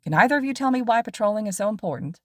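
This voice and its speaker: A woman voicing a loud, cheerful male hero teacher with an exuberant, projecting manner. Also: medium pitch, lively intonation, very fast, no echo, clean studio sound, pitched above the performer's own voice, natural voice. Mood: upbeat.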